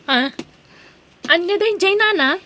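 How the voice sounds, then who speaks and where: A woman's voice: very high-pitched, animated, slow pace, pushed and bright, no echo, exaggerated voice. A woman with an Ilocano accent from the Philippines playing a chatty, exclamatory lady house seller in a radio-style comedy drama. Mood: curious. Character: chatty lady homeowner eager to sell her house.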